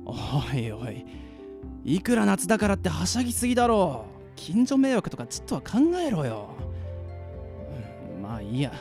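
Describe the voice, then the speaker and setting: A man's voice: high-pitched, very animated, normal speed, darkish tone. Man acting a bewildered young-sounding guy, heavily echoing. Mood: grumbling, puzzled. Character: weary, bewildered adult man narrating his ghostly encounter. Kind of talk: radio drama dialogue and first-person narration. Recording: echoey reverberant sound, music underneath.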